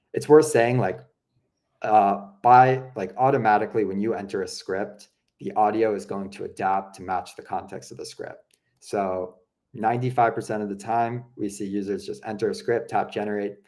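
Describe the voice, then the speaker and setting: A young man casually walking viewers through a software product, chatty and relaxed, with lots of "you know" and "um". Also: lowish voice. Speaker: product presenter. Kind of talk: casual explanatory walkthrough.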